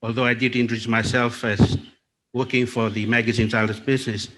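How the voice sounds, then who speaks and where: A man from Fiji facilitating a panel discussion at a lectern, speaking in a measured, deliberate way with small restarts. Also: medium pitch, little pitch movement, a bit bright, slight background noise, low-quality recording. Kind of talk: formal event moderation. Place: conference hall. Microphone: lectern microphone.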